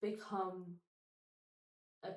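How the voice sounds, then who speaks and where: A woman's voice: fairly low pitch, little pitch movement, extremely slow. A young woman speaking casually as a guest in a conversational interview. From southern Italy. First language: Italian. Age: young adult. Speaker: podcast guest. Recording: echoey room, distant microphone.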